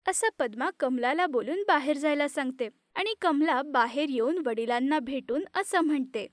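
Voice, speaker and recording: A woman narrating an animated moral story in a steady, plain storytelling manner. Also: high-pitched, normal speed, dry recording, studio quality.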